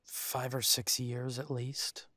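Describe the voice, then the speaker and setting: Werewolf boyfriend for audio drama, male actor: mid-pitched, unhurried and halting, devoted yet weighed down by family estrangement, very dry sound. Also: level tone, bright, forceful tone, no echo. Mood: uneasy, somber. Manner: halting, sighing, tender. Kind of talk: intimate roleplay audio drama.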